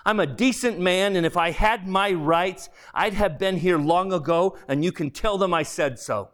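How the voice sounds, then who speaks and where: A man's voice: high-pitched, moderate pace, a bit bright, pitched above the performer's own voice. A man voicing a sulky, indignant working-class ghost. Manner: blustering, aggrieved, repetitive, slangy. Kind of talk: dramatised audiobook character voice.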